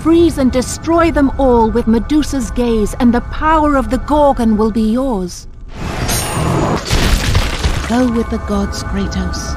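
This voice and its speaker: An actress playing an imperious goddess of love in a video game, speaking in a commanding, formal, theatrical manner. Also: female voice, fairly high pitch, slow pace. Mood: commanding, enticing. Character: imperious Greek love goddess granting deadly powers. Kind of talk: dramatic video game acting. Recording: clear music behind.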